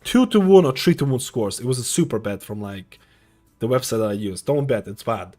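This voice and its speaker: A man in his thirties, originally from Lithuania, talks to his stream viewers in a loose, rambling way, circling back and repeating phrases. His voice sits at a middling pitch. Faint music plays quietly behind him. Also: lively intonation, a little fast. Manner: rambling, repeats himself, many fillers. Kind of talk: casual live-stream chat. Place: home streaming room.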